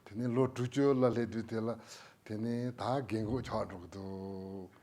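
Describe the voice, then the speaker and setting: A man giving an unhurried Buddhist Dharma teaching in Tibetan, laughing now and then between points. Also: on the low side, fairly even intonation, warm tone.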